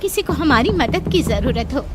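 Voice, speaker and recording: A woman's voice: high-pitched, expressive, normal speed, background noise. A woman playing a fearful, fretting village wife and mother in a dramatized story. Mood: worried. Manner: dramatic, fretful. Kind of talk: Hindi audio story acting.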